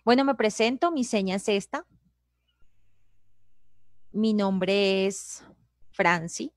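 Steady woman interpreter, dry close sound. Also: medium pitch, takes it slowly, dry recording. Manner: steady, hesitant "eh" fillers. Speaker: sign language interpreter. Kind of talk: self-introduction in a live panel talk.

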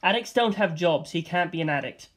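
A man's voice: sits high, lively intonation, a little fast, fairly bright tone. A man voicing a spaced-out stoner dinosaur who talks in a rambling, casual way.

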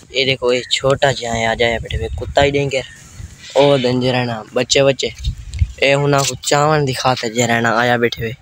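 A young man with a Saraiki accent from Pakistan, chatting casually and repetitively as he films a village vlog. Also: mid-range voice. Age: teens to young adult. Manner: informal, repetitive running commentary. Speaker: vlogger, host. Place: outdoors in village lanes, fields and walled yard. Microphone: phone at arm's length. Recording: noisy handheld phone recording.

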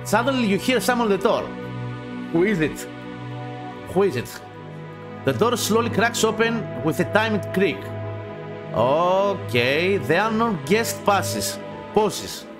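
A man with a fairly high voice and a Greek accent reads game text aloud as a gaming host. He stumbles, repeats phrases and drops in casual, sweary asides. Music plays behind him. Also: animated, slow, pauses often. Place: small dim home gaming room.

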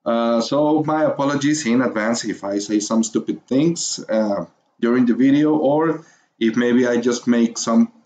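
A man from Mexico with a Spanish accent, whose first language is Spanish, teaching a beginner's course in an encouraging, hesitant way, pausing often to find his words. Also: medium pitch, a little mellow. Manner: hesitant, many fillers and pauses while finding words. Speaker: course instructor. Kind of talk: screencast tutorial narration.

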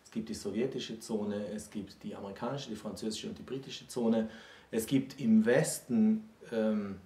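A man in his forties, a historian explaining in a measured, factual, academic way during a conversation. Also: fairly low pitch, dark tone. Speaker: historian, regular guest expert. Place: small book-lined room, indoors. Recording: roomy sound, distant microphone.

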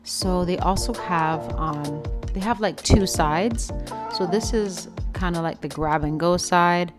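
A young woman, giving a chatty, playful and expressive casual vlog voice-over. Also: on the low side, measured pace. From Canada. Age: young adult. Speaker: vlogger, food reviewer. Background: background music.

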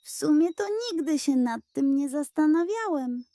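A woman's voice: high-pitched, normal speed, warm tone, no echo, pitched above the performer's own voice. A woman voicing a curious, admiring young grandson in a children's storybook, with wide-eyed, eager questioning. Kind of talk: children's audio storybook character.

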